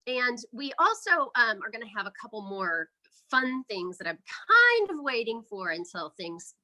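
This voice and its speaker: A woman's voice: a little high, expressive, moderate pace, bright, forceful tone, poor audio. A woman speaking casually and enthusiastically as the guest in an interview about her indie projects. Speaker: interview guest, indie horror filmmaker and podcaster. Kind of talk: casual promotional interview chat.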